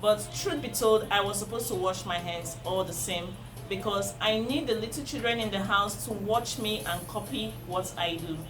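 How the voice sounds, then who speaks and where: A woman's voice: medium pitch, moderate pace. Earnest Nigerian woman over music. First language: Igbo. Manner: earnest and instructive, repeats points for emphasis. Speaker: parenting vlogger. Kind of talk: advice talk to camera. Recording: music playing under the voice.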